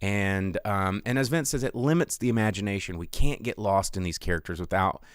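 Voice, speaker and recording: Clear-voiced man on a casual rant. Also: lowish voice, a little fast, no echo, clean studio sound. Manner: rambling, emphatic, exasperated. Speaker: podcast host.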